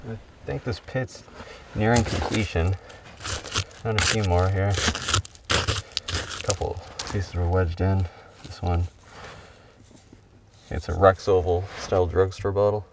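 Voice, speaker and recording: A man calmly narrating a bottle dig outdoors, reading out each find in a matter-of-fact way. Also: deep voice, slow pace, creaky, pauses often, recorded from a distance, heavy background noise. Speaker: bottle digger. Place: outdoors at an excavation pit.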